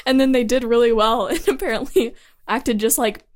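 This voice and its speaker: A woman's voice: a little high, moderate pace. A teenage young woman co-hosting a podcast in casual banter with friends. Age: teens. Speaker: podcast co-host.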